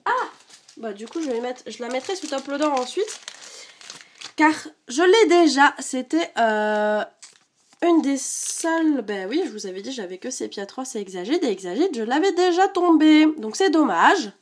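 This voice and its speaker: A woman's voice: high-pitched, big pitch swings, moderate pace. A woman with a stuffy head-cold voice, chatting casually with lots of fillers while opening card packs. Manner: chatty, many fillers, rattles off card names. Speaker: card collecting vlogger. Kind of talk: casual unboxing chat.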